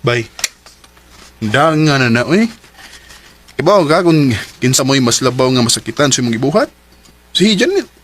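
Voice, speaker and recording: A man's voice: mid-range voice, sing-song, normal speed, pauses often, background noise, natural voice. A man with a Cebuano accent acting an indignant friend who scolds in a radio drama. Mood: reproachful.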